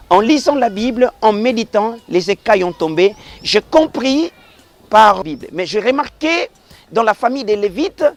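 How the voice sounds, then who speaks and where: A middle-aged man from the Congo Central region of the DR Congo, a pastor speaking earnestly in an interview, rising to emphatic passages and dropping to quiet blessings. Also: sits high. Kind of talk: formal interview remarks, preacherly.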